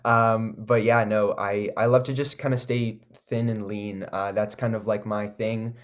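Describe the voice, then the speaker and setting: Male music artist, Canadian accent, lowish pitch, casual and enthusiastic interview delivery with loose, searching phrasing. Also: level tone, a little slow. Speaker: recording artist, interview guest.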